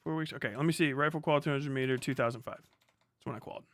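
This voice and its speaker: A man's voice: mid-range voice, quick pace. A middle-aged man giving casual, rambling reaction commentary as a livestream host, full of slang and swearing. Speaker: livestream host, former Marine.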